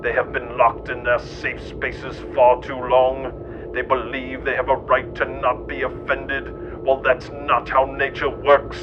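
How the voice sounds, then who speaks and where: A man narrating a satirical scripted monologue in a scornful, ranting manner. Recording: dull, noisy lo-fi recording with rumble.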